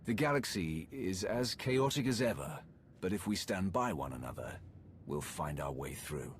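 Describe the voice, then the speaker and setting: An actor playing a resolute male Sith military commander in a video game, measured and authoritative. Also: low-pitched, animated, a little hiss or hum. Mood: solemn, rallying. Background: game music.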